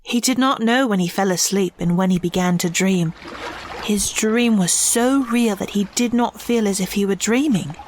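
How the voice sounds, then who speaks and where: A woman giving scripted storybook narration in a measured, reflective way. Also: medium pitch, slight background noise.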